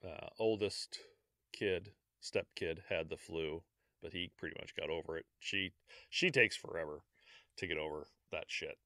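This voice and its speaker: A man's voice: low-pitched, normal speed, bright, forceful tone, dry recording. Congested American man, dry close sound. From United States. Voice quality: a little congested. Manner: laughs through his stories, swears, drawling. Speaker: podcast co-host. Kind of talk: casual chat.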